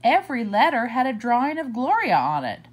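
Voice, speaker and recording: A woman reading a children's picture book aloud for story time, slow and deliberate with expressive swoops. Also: a little high, slightly rough.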